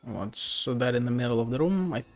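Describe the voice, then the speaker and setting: A man's voice: mid-range voice, expressive, moderate pace. A man giving casual, blunt playthrough commentary as a game streamer, musing offhand and swearing. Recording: game music behind the voice.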